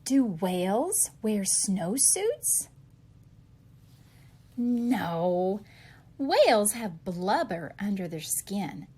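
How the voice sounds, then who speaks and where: A slightly husky-voiced woman reading a children's picture book aloud, posing questions and answering them expressively for young listeners. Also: mid-range voice, big pitch swings, very slow, pauses often, dark tone.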